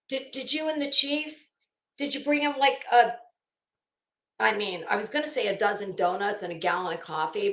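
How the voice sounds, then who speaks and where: High-voiced sarcastic woman ranting in echoey room. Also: fairly bright tone. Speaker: commentary vlogger. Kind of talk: mocking dramatic reading with running commentary. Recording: roomy, echoing room sound.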